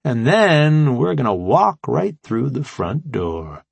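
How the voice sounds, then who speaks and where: A man's voice: medium pitch, sing-song, slow, gravelly, slightly rough, no echo, voice pushed up, full character voice. A man voicing an eager, cocky, playful young kid brother as a character in an audiobook, with casual boastful delivery.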